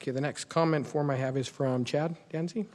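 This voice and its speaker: A man's voice: on the high side, fairly even intonation, a little fast, darkish tone, long reverb tail. A man chairing a public meeting, speaking in informal procedural talk from a council chamber.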